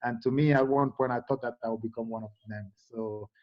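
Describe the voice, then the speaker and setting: A middle-aged man from Cameroon, a guest in a conversational interview, speaking in a halting way with many fillers and restarts. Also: mid-range voice, fairly even intonation, highs cut off.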